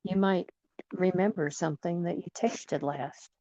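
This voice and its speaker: A woman leading guided imagery in a soothing, gentle manner, leaving long pauses between phrases. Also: lowish voice, no room sound, muffled recording. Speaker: guided meditation leader.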